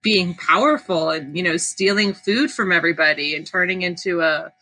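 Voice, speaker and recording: Bright, expressive woman chatting casually. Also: fairly low pitch, normal speed, poor audio. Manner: informal, expressive. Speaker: podcast co-host. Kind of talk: casual book discussion chat.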